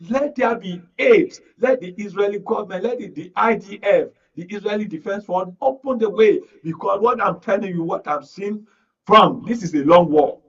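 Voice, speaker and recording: High-pitched Nigerian man, emphatic panel commentator. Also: normal speed, fairly bright tone, husky. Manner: earnest, emphatic, repeats phrases. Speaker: guest media analyst. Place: radio studio. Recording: muffled audio, distant from mic.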